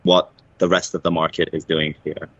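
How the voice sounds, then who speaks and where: A man's voice: rumbling low, level tone, moderate pace, no room sound, lo-fi sound. A man from Liverpool in England, a cabaret MC, talking casually as an interview guest.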